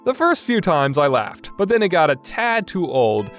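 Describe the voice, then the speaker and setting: A man's voice: on the high side, sing-song, moderate pace, a bit bright. A man delivering a semi-scripted review commentary in a conversational, opinionated way, like a podcast host. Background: background music.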